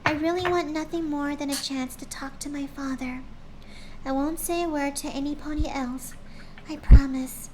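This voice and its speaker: A woman voicing a gentle, soft-spoken female pegasus pony, talking in a hushed, pleading way. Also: high voice, fairly even intonation, moderate pace, a little hiss or hum, voice pushed up, natural voice, poor audio. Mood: calm.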